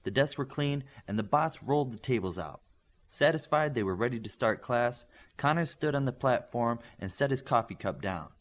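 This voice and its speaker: A man's voice: medium pitch, animated, normal speed. A man reading a scripted story aloud as an audiobook narrator.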